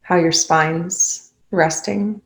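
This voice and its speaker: Low, even-voiced woman guiding meditation. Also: low-quality recording. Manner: calm, unhurried, with long pauses. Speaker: meditation guide. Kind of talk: guided meditation, spoken softly to listeners.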